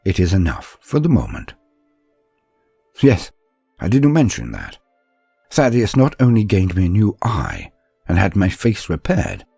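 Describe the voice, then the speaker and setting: A man playing an earnest, reflective young psychic apprentice, telling his story in a scripted, dramatic and measured first-person narration. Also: deep voice, darkish tone, breathy edge, no room sound, exaggerated voice. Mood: wistful.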